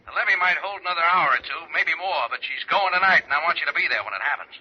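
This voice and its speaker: An actor playing a punchy, sardonic newspaper editor through a telephone filter in a 1940s radio drama. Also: male voice, on the high side, lively intonation, quick pace, husky, dull audio. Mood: urgent. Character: brisk, pushy newspaper editor on the phone.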